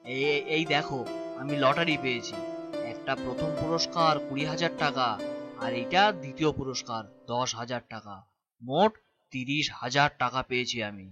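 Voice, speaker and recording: A man's voice: medium pitch, normal speed, over loud music, lightly acted. A man playing a burdened, irritable young working son in a cartoon, with a sarcastic edge. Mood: excited. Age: young adult. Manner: exasperated, sarcastic. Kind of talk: cartoon voice acting.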